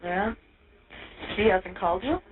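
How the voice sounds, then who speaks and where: A woman's voice: mid-range voice, unhurried, a little hiss or hum. A woman in a casual, blunt phone conversation from jail, heard over a narrow phone line. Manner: blunt, profane. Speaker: inmate phoning from jail.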